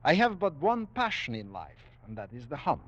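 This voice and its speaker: An actor playing a cultured, cold-blooded aristocratic general in a 1940s radio drama, courtly, formal and boastful, with measured relish. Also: male voice, fairly high pitch, huge pitch swings, fairly bright tone, heavily acted. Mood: proud, courtly. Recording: dull vintage 1940s radio recording.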